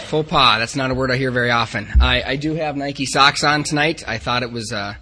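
A man's voice: medium pitch, fairly quick, noisy background. A man from the Upper Midwest giving a candid, self-deprecating personal talk to a recovery group. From Upper Midwest of the United States. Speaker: speaker at a recovery group meeting.

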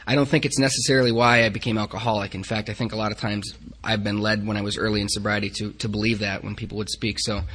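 A man's voice: lowish voice, little pitch movement, fast talker, few pauses, a bit bright, breathy edge. A man from the Upper Midwest giving a candid, self-deprecating personal talk to a recovery group. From Upper Midwest of the United States. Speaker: speaker at a recovery group meeting.

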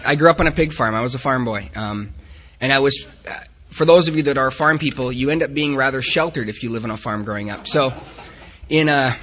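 A man with a bright, forceful voice speaks to a recovery group meeting about his own life. He is from the Upper Midwest, and he talks in a candid way, joking at his own expense. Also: mid-range voice. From Upper Midwest of the United States. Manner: self-deprecating, joking, candid. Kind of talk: personal recovery talk to a group.

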